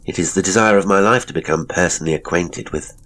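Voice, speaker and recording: A man voicing a hearty, eager young Victorian gentleman in an audiobook, jovial and exclamatory in old-fashioned upper-class speech. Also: low voice, quick pace, slight background noise. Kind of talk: audiobook character acting.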